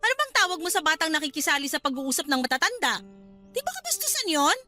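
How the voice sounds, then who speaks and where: An actress playing a hostile, scolding Filipino aunt in a radio drama, snappish and scornful. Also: female voice, very high voice, very animated, quick pace, sharp tone, faint music behind, exaggerated voice. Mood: angry, scornful. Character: hostile, scolding aunt guarding her house.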